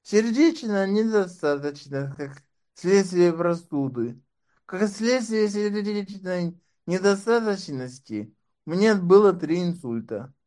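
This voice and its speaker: A man's voice: high-pitched, expressive, takes it slowly, darkish tone. A man speaking as an interview guest and doctoral candidate, talking in a wry, sardonic way. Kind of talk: casual conversation.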